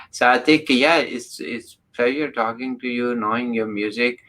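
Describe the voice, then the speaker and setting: A man hosting an interview, speaking slowly and haltingly with many fillers. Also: mid-range voice, fairly even intonation, bright, forceful tone. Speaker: interview host. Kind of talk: casual interview talk.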